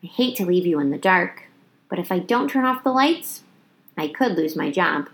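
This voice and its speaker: Woman as a jolly, animated night janitor. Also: lowish voice, normal speed. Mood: kindly. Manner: chatty, exclamatory, jovial. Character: friendly, jolly male night janitor, chatty and playful.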